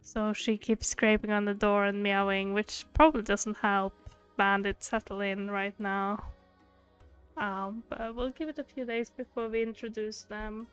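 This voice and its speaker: Clear, chatty woman streamer, fairly high voice. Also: dull audio. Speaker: game streamer. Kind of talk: casual livestream chat. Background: game music.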